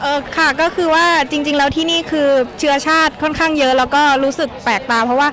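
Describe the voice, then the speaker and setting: Fast-talking young woman, polite and hesitant, interviewed amid a noisy crowd. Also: high voice, fairly even intonation. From Thailand. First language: Thai. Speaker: exchange student. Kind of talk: street interview. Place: outdoor temple event.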